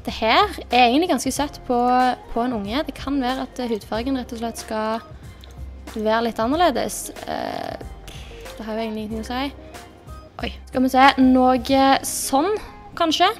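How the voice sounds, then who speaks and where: A young woman with a slightly high voice chats through a gameplay session, thinking aloud as she makes her choices. She speaks into a desk mic in her bedroom, with soft music playing underneath. The delivery is relaxed and conversational. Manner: chatty, thinking aloud while clicking through options. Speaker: gaming YouTuber. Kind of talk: casual gameplay commentary.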